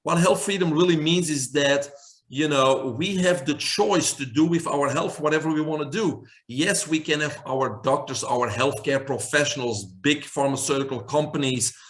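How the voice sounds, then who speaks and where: A man's voice: on the high side, normal speed. A man in his forties from Belgium with a Belgian accent, earnestly answering interview questions as a holistic health doctor. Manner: earnest, emphatic, lists many terms.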